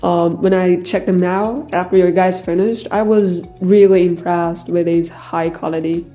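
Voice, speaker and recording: A woman dubbing the voice of a male immigrant client in an interview, speaking calmly with frequent "uh" fillers. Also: on the low side, unhurried, close to an everyday voice. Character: middle-aged male immigrant client, calm businessman. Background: faint background music.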